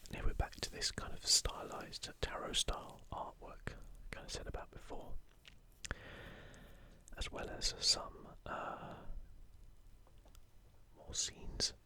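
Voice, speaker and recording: A man hosting an ASMR video in a breathy, unvoiced whisper close to the microphone, with hesitant, informal commentary. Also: slow, pauses often, bright, forceful tone.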